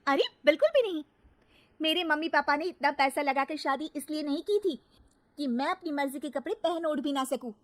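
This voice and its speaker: A woman's voice: high voice, a little fast, voice pushed up, natural voice. A woman voicing a haughty, petulant young city wife in an acted story. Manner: petulant, snobbish. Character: haughty, whiny young city wife, embarrassed by mother-in-law.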